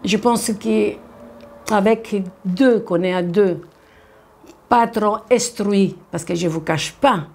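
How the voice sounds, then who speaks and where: A woman's voice: medium pitch, slow pace, a little mellow. A woman speaking modestly and candidly in a documentary interview.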